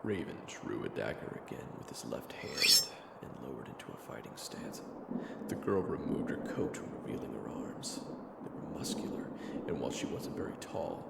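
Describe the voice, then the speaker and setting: Creaky-voiced man narrating an audio story. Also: very deep voice, sing-song, moderate pace, roomy sound, recorded from a distance, very noisy.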